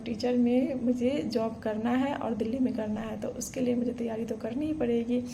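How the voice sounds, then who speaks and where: A woman's voice: a little high, warm tone, a little hiss or hum. A woman, earnestly telling her own exam story to camera, full of fillers and restarts. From Bihar, India. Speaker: vlogger. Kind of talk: casual personal story to camera.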